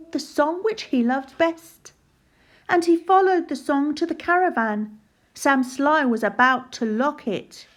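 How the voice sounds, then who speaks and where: Woman reading a kids rhyming book, high voice, nice and slow, kinda sing-song. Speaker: storybook narrator. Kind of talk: children's rhyming story read aloud.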